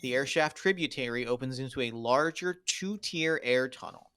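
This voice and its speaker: A man's voice: mid-range voice, moderate pace, bright, forceful tone, clean tone, dry recording. Man reading stage directions, dry close sound. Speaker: stage-direction narrator at a table read. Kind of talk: scripted narration of screenplay action lines.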